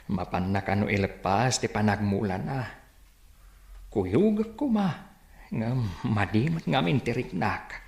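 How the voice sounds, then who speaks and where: An actor playing a gentle, ailing elderly father with an Ilocano accent in a radio drama, speaking softly and hesitantly. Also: lowish voice, animated, unhurried, creaky, reverberant. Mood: calm, weary.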